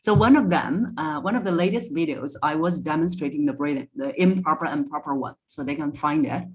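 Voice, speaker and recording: A woman's voice: low voice, brisk, low-quality recording. A woman speaking as an interview guest and voice coach, explaining things conversationally and instructively with step-by-step examples. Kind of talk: conversational expert advice.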